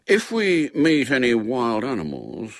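A man's voice: mid-range voice, sing-song, moderate pace, fairly bright tone, slightly rough, no room sound, voice pushed up. A man voicing a bold, boastful young boy playing at knights in a storybook, with blustering, melodramatic talk. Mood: bossy, glum.

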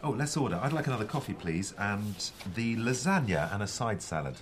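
An actor playing a middle-aged husband in a naturalistic radio drama, chatty, theatrical and wryly self-pitying with a close friend. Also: male voice, on the low side, very animated, a little fast, noisy background. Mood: dry, wry.

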